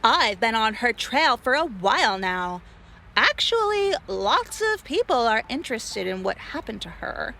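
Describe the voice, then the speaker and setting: An actress playing a brash, self-important influencer and amateur sleuth in a comedic audio drama. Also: female voice, a little high, sing-song, unhurried, creaky, sharp tone, slight background noise, exaggerated voice. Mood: smug.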